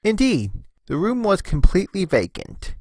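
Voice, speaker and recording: Male narrator, expressive scripted storytelling, wide-ranging intonation, dry close recording. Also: sits high, normal speed, slightly rough, no room sound.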